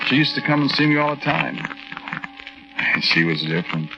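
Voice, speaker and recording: An American actor playing a nervous war veteran who tells his strange tale in halting, colloquial, confiding narration for a 1940s radio drama. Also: mid-range voice, big pitch swings, vocal fry, loud music behind the voice. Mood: confiding, uneasy. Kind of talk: 1940s radio drama monologue, conversational first-person narration. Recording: noisy, muffled 1940s radio recording with clicks.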